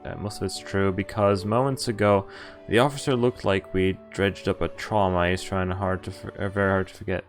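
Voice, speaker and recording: Low-voiced man streaming over game music. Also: steady tone, a little fast, hardly any pauses. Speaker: streamer reading a visual novel aloud. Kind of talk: live game stream, reading text aloud with casual reactions. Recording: game music playing behind. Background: video game music.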